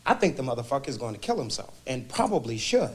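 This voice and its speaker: A man's voice: high-pitched, lively intonation, fairly quick, gravelly. An actor playing a sardonic, laid-back man in group therapy in a comedy film. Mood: indignant, sardonic. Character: laid-back male therapy patient, sardonic when insulted.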